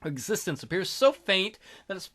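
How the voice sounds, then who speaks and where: Animated, bright-voiced man doing a let's play. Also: high voice, moderate pace. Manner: goofy, crude humor, theatrical reading. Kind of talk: reading a visual novel aloud with joking commentary.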